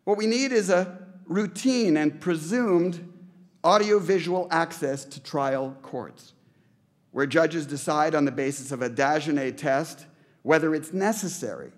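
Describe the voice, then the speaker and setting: A man's voice: a little high, measured pace. Middle-aged man making an emphatic podium speech. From Canada. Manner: earnest, emphatic, builds with repeated phrases. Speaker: award recipient giving an acceptance speech. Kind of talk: prepared speech read at a podium. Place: large banquet hall, indoors. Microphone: podium microphones.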